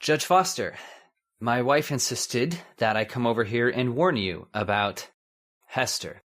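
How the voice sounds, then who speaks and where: A man's voice: medium pitch, unhurried, a bit bright, natural voice. A man playing a placating, controlling husband in old-time radio horror, soothing but firm and theatrical.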